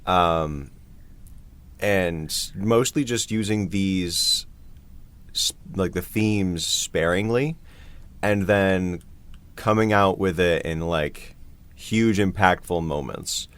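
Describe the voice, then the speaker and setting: A man chatting casually about his creative work as a composer, in a halting, hesitant way. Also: low-pitched, a bit bright, clear, resonant tone. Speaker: composer for an audio drama.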